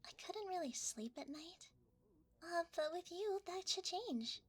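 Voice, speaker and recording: A woman's voice: extremely high pitch, expressive, a little slow. A woman voicing a shy, cuddly shapeshifting creature in an ASMR roleplay, hesitant and easily flustered, often half-whispering. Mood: cozy, affectionate.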